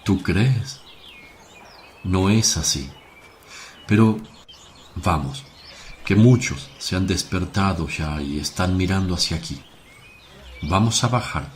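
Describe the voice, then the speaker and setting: A man acts out a grave and gentle sorrowful teacher in a religious radio play. The voice is very low and slow, measured phrase by phrase, with faint birdsong behind it. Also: animated, slight background noise. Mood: gentle exhorting. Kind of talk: devotional radio play acting. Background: birdsong and outdoor ambience.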